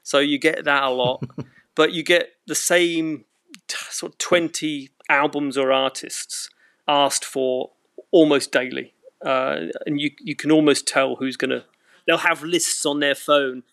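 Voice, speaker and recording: A British man in his fifties with a slightly high voice, recorded dry and close. He chats casually in anecdotes, with a wry, self-deprecating grumble. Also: fairly even intonation, unhurried, gravelly, a bit bright, dry recording. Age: 50s. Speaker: podcast guest, record shop owner.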